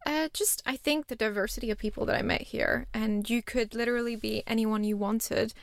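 A woman in her thirties who grew up in Singapore talks in a relaxed, chatty way. She pokes fun at herself. Her voice has a gravelly, creaky texture, and the recording is close and dry. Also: a little high, fairly quick, no echo. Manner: chatty and self-deprecating, often laughs at herself. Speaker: startup founder, guest. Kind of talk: casual interview chat.

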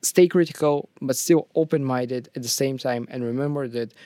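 A man's voice: mid-range voice, normal speed, warm tone, no room sound. Young male podcast co-host, Bulgarian accent, hesitant and jokey delivery, close dry sound. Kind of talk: casual scripted podcast chat.

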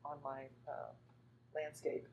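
A woman from Northern California talks about her art in a low, unhurried register. She wanders through her thoughts with many fillers and restarts. There is a faint bit of noise behind her voice. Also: completely flat delivery, a little mellow, poor audio. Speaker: artist. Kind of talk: conversational artist talk.

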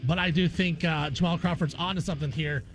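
Higher-pitched male sports radio host. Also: steady tone, fast talker, soft background music, low-quality recording. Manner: conversational, repeats phrases for emphasis. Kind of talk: casual sports commentary.

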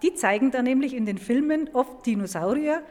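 High-voiced woman scientist in a reverberant hall. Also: expressive, brisk, darkish tone. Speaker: museum paleontologist, guest expert. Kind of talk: explaining science to children in an audio play.

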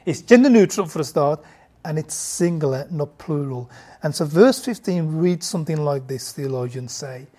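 A woman's voice: deep voice, animated, normal speed, warm tone, breathy edge. A woman giving a conversational Bible lesson to a group, often restarting her sentences and asking the listeners questions. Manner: informal, stumbling restarts, asks the audience questions. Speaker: Bible teacher.

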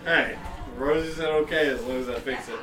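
A man's voice: a little high, fairly quick, a bit bright. A drunk young man rambling defensively at a house party. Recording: party with music.

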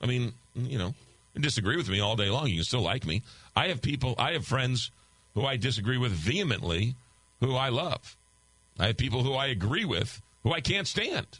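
A man's voice: medium pitch, sing-song, moderate pace, a bit bright, slightly rough, no room sound, rough recording. An older man hosting a call-in talk radio show, loosely reading listener texts and ad copy while losing his voice. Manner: loose, conversational, reads listener texts aloud. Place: radio studio. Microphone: studio microphone.

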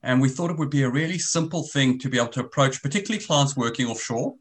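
A South African man in his forties talks on a remote call, his voice pitched in the ordinary middle range for a man. He explains in long, winding runs and often restarts his sentences. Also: quick pace. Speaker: guest, risk business founder. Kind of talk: conversational interview.

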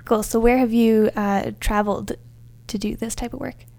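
A woman's voice: fairly high pitch, fairly even intonation, moderate pace, creaky, warm tone. A young woman hosting a casual podcast interview.

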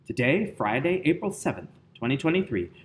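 Lively male podcast host. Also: medium pitch, fairly quick, a little hiss or hum. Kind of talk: scripted podcast intro and outro.

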